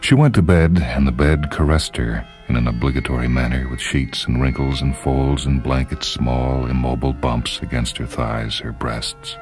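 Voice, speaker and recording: Man, extremely low voice, measured dramatic narration, literary story reading, music underneath. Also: fairly even intonation. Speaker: short story reader and radio host. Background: background music.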